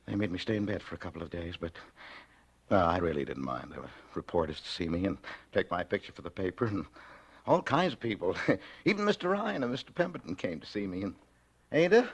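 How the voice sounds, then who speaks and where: A man's voice: sits low, big pitch swings, a little fast, husky, full character voice. An actor playing a timid, flustered, henpecked husband in 1940s radio drama, hesitant and stammering as he talks. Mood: contented. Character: timid, henpecked, nervous husband telling his misadventure. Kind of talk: 1940s radio drama acting, first-person narration and dialogue. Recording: vintage radio recording, faint music.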